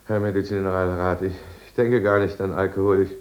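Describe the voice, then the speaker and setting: Actor as an anxious deep-voiced middle-aged drinker. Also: male voice, flat delivery, a little fast, lo-fi sound, natural voice. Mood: nervous, defensive. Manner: careful diction, hesitant and self-justifying. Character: anxious, evasive middle-aged alcoholic businessman confined in an asylum. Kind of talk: 1950s German radio drama acting and narration.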